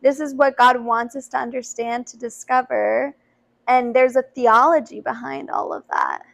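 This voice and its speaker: A young woman who works as a youth minister, talking earnestly on a podcast in a high voice. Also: animated, moderate pace, vocal fry. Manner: earnest, frequent "to be honest" and "like". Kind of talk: casual chat.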